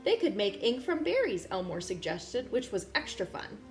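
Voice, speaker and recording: Expressive woman reading aloud in an echoey room. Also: mid-range voice, moderate pace, faint music behind. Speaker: children's story reader, teacher. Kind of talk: expressive read-aloud for young children. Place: indoor room. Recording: roomy echo, clear background noise.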